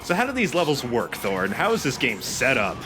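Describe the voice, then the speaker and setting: A man's voice: medium pitch, huge pitch swings, a little fast. A man chatting casually as a co-commentator on a video game let's play. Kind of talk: casual chat. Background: game music.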